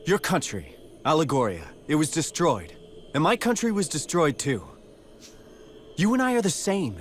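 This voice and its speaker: A man's voice: fairly high pitch, big pitch swings, normal speed, slight background noise. An actor playing a noble, resolute young king in a video game, speaking with earnest heroic conviction. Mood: earnest pleading. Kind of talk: dramatic video game voice acting.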